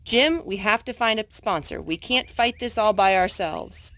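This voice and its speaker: A woman's voice: mid-range voice, moderate pace, a bit bright, close to an everyday voice. A woman voicing a kindly, earnest man who trains a horse, in a storybook reading. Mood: determined.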